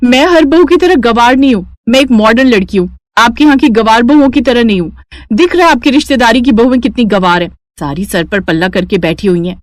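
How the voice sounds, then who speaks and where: A woman playing a haughty, rude young rich bride with a snapping, scornful manner in an animated story. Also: fairly high pitch, a little fast, dry recording, close to an everyday voice. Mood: scornful, irritated.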